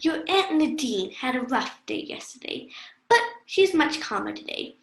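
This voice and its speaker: A young-sounding woman playing a patient, kindly caregiver in an amateur radio play, speaking gently and reassuringly. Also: high-pitched, very animated, moderate pace, reverberant, a loud crowd, rough recording. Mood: calm, reassuring.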